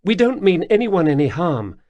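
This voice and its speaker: A man voicing a bold, quick-witted young woman explorer, pitched well up, speaking earnestly. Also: sing-song, mellow, dull audio. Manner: raised pitch, earnest, trying to sound haughty.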